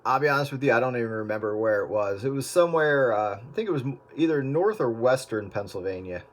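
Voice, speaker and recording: A man's voice: medium pitch, expressive, fast. A man in a casual radio chat, joking and rambling with frequent restarts. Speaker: radio hobbyist on an on-air group chat. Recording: heavy static radio transmission.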